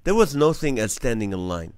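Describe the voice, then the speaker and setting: A man's voice: medium pitch, big pitch swings, a little fast, a little mellow, dry recording. A man who grew up in the Middle East, hosting a casual live-stream comedy chat and laughing between his jokes. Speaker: comedian host.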